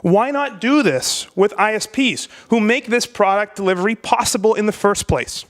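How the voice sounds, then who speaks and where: A man delivering a prepared debate speech, emphatic and rhetorical, with deliberate pauses. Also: high-pitched, fairly bright tone.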